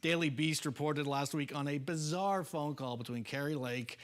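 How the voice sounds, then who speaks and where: Higher-voiced American male TV interviewer. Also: normal speed. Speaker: TV political show host. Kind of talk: broadcast panel interview.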